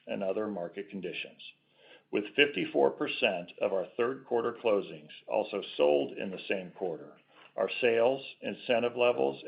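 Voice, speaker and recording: A man's voice: fairly low pitch, gravelly. A man speaking as a company executive, reading a scripted financial presentation on an earnings call. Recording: dull conference call audio.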